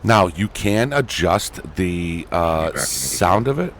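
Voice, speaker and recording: Low-voiced man giving a casual gaming tutorial. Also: sing-song, slow, a bit bright, slight background noise. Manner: folksy, addresses viewers as y'all and folks. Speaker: host, gaming tutorial creator. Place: indoors at a gaming computer, voice over screen recording.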